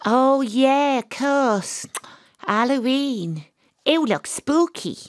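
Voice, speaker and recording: An actress playing a troubled, weary woman private detective with a dramatic, halting delivery in an audio drama. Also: sits high, lively intonation, no room sound, studio quality, exaggerated voice. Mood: weary, evasive.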